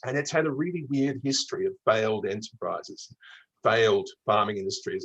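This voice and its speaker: A man's voice: on the low side, fairly bright tone, slightly rough, low-quality recording. A man from Melbourne with a mild Australian accent, telling travel stories conversationally as an interview guest. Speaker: travel writer being interviewed.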